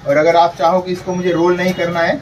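A man's voice: high voice, monotone, quick pace, highs cut off. A man in his thirties, a laundry shop owner, casually explaining a task step by step to his viewers as friends, recorded indoors in a small shop. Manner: chatty, keeps addressing viewers as friends. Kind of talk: casual how-to demonstration. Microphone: camera mic at a distance. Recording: distant mic with street and traffic noise.

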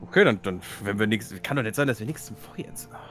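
A man's voice: fairly low pitch, animated, fast talker, fairly bright tone, faint music behind. A man chatting casually as a player in a roleplaying game, blunt and flippant in the way he talks.

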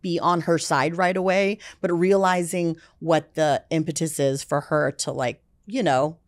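Low-voiced woman guest, chatty and dry. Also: fairly even intonation, measured pace, no room sound. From United States. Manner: chatty, many fillers like "you know" and "like". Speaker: film music executive, guest. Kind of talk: casual interview chat. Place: dark studio set, seated in armchair. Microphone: podcast mic on boom arm.

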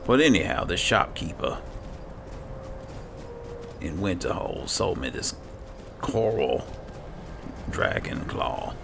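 A man talking in character in an unhurried, muttering way as he plays through a fantasy video game. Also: low voice, big pitch swings. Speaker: gamer playing in character. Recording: clearly noisy, game ambience behind.

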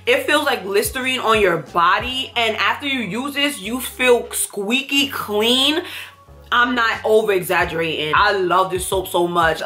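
A young woman with a congested, stuffy nose talks to the camera in a bright, forward voice. She gushes emphatically about her finds in a casual, chatty way. She is recorded at desk distance in a small room at home. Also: medium pitch. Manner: chatty, emphatic, enthusiastic product talk. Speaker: beauty vlogger. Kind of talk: casual haul chat to camera.

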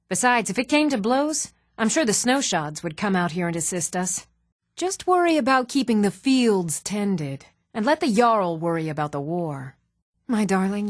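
Actress as an even-toned fantasy game woman, close and dry. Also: medium pitch, no room sound, close to an everyday voice. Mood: earnest. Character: even-toned adult woman of a fantasy world, composed and earnest. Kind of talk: scripted video game character dialogue.

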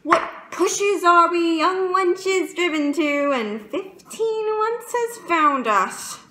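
Woman acting a lovesick, high-voiced Shakespearean maiden. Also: slow, bright, forceful tone. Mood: exasperated. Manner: theatrical, emphatic, with dramatic swells. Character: lovesick young jailer's daughter, yearning and impulsive. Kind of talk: Shakespearean stage monologue. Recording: roomy echo with faint background noise.

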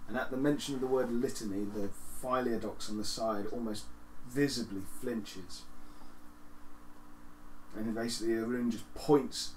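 A man's voice: mid-range voice, steady tone, moderate pace. A man narrating as game master in an improvised tabletop roleplaying session. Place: living room with several players. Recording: echoey living room, faint background noise.

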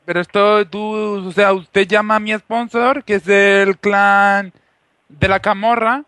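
A man playing an insistent, boastful foreign gamer on a prank call, halting and repetitive, with a put-on Moroccan accent. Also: high voice, steady tone, bright, forceful tone, no echo, clean studio sound, full character voice. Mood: confused, insistent.

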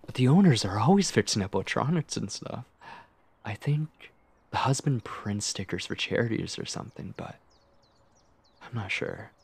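A man's voice: fairly low pitch, lively intonation, moderate pace, vocal fry, husky, no room sound, exaggerated voice. A man playing a shy, obsessive lovestruck man on a date in an ASMR roleplay, speaking in a hesitant, intimate, flustered way. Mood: awkward, bashful.

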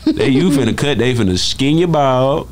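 A young man from Dallas, guest rapper in a casual, slangy podcast-studio interview, speaking into a studio boom mic. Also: lively intonation, normal speed, slight background noise. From Dallas, Texas. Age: young adult. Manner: slangy, laid-back, swears freely. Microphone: studio mic on boom arm.

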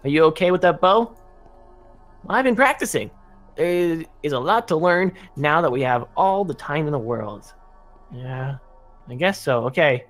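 A man's voice: fairly high pitch, expressive, a little slow, a bit bright, soft background music. A man playing an earnest, practical young son who survived a plane crash, in a casual improvised game roleplay.

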